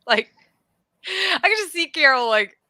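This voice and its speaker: A woman co-hosting a podcast, chatting casually about TV in a halting, rambling way that often repeats words. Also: high voice, animated, bright, forceful tone, dry recording, clean studio sound. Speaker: podcast host. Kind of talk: casual chat about a TV show.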